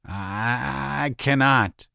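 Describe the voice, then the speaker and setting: A man voicing a gentle, guilty middle-aged doctor who speaks softly and stammers. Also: mid-range voice, very slow, creaky, no room sound, clean studio sound, full character voice. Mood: resigned. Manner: soft-spoken, stammering.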